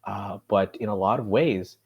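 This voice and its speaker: American man, podcast guest, husky lowish voice, lectures steadily with rhetorical questions. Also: moderate pace, dull audio. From United States. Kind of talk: earnest political discussion.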